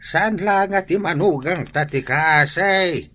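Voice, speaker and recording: Fretful older ilocano relative, sharp bright voice, radio drama. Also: mid-range voice, slow pace. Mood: fretful, pointed.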